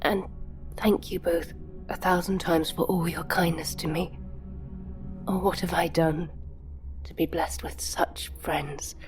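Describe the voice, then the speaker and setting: An actress playing a sweet, grateful young Victorian gentlewoman in an audio drama, speaking in a soft, breathless, earnest manner. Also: fairly low pitch, fairly even intonation, takes it slowly, pauses often, soft background music. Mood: grateful, tender.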